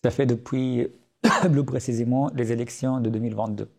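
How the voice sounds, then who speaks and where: A man's voice: mid-range voice, steady tone, normal speed, creaky, a little mellow. A man in his late thirties, originally from Syria, speaking French with an Arabic accent as a podcast guest, earnest, with many hesitations and restarts. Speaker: guest author. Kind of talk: podcast interview.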